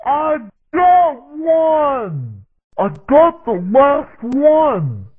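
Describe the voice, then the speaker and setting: A man voicing a young, excitable, anxious frog starfighter pilot in a video game, boyish and exclamatory, in short radio callouts. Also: very high voice, very slow, exaggerated voice. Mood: elated. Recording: tinny, band-limited radio-like game audio.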